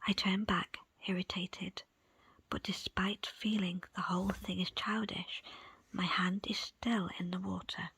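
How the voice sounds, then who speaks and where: Woman doing asmr book reading, really breathy whisper, slow, close dry mic. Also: fairly low pitch, dry recording, poor audio. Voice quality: soft, breathy, unvoiced whisper. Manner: slow, deliberate, pausing between short phrases. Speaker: audiobook reader. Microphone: close, dry microphone.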